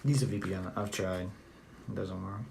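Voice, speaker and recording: A young man with a Southern American accent, chatting loosely on a phone livestream from home. His low voice has a gravelly, fry-heavy texture. He rambles bluntly and swears freely while reacting to comments. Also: steady tone, normal speed, background noise. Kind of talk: casual live-stream chat reading comments.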